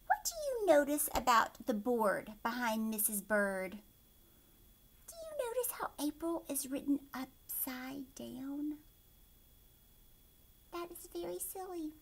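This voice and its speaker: A woman reads a children's story in a high, very animated voice. She takes her time, stepping aside from the text to explain and put questions to young listeners. She sounds like a teacher at storytime, expressive and clear in purpose. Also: takes it slowly, long pauses, slight background noise. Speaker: teacher reading a picture book aloud.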